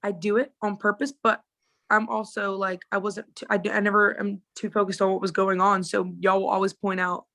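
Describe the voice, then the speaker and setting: A woman guest on a podcast talks in a relaxed, rambling way and muses aloud. Her voice sits at a middling pitch. Her delivery is reflective and unhurried. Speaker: podcast guest, social media creator. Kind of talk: casual interview chat.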